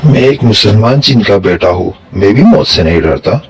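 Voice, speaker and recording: A man's voice: lowish voice, animated, normal speed, husky. A man playing a grateful, solemn jinn in a dramatized children's story, with formal, emphatic speech. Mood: resolute.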